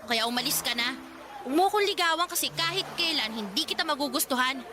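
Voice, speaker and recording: A woman's voice: sits high, animated, fast, background noise. A woman playing a blunt, dismissive teenage schoolgirl in a radio drama, with curt, exasperated delivery. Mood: exasperated, rejecting. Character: blunt, irritable teenage schoolgirl brushing off her suitor. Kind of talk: Tagalog radio drama acting.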